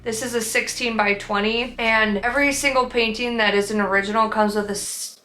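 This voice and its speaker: A woman's voice: a little high, fairly even intonation, fairly quick, pushed and bright, roomy sound, poor audio. A young woman with a Minnesotan accent, chatting casually and rambling about her own painting in a vlog, with lots of fillers and restarts. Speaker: artist vlogger.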